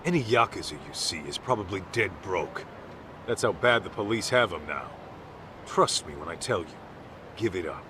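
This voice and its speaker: A man's voice: sits low, lively intonation, normal speed, noisy background. An actor playing a streetwise middle-aged man with an American accent in a game dub, blunt, slangy and sardonic. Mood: scolding, sardonic. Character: gruff streetwise middle-aged man, brash protective mentor. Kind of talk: video game dub acting.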